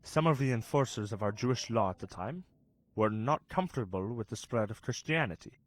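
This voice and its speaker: An actor playing a kindly, gently wry young saint who guides and explains, a touch pedantic, in a children's audio drama. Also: male voice, medium pitch, animated, a little fast, lightly acted.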